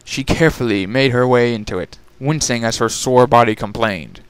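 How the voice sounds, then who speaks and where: A man's voice: medium pitch, normal speed, clear, resonant tone, dry recording. Man narrating a story, close and dry. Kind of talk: dramatic story reading.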